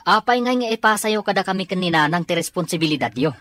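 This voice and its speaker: A man playing a caring, responsible and often frustrated younger brother with a husky voice and Filipino Ilocano accent in an audio drama. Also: high-pitched, animated, fast talker, sharp tone, no echo, clean studio sound. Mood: pleading, frustrated.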